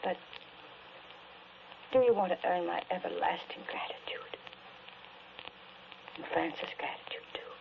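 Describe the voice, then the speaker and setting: An actress playing a grieving, desperate widow in a melodramatic 1940s radio drama, intense, moving between hushed murmurs and pleading. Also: female voice, lowish voice, a little slow, vocal fry, long pauses, in a whisper. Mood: grave, resolute. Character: grieving young widow, desperate and obsessively devoted to her dead husband. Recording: muffled, noisy 1940s radio recording.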